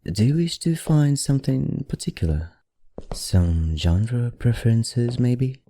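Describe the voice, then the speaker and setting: A man playing a courteous, teasing, ancient vampire librarian in an ASMR roleplay, speaking genteelly with a put-on accent in a low, husky voice. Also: expressive, slow, slight background noise. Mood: genial, proud. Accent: put-on, not his own. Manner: genteel, old-fashioned, softly teasing. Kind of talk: ASMR roleplay, addressing the listener.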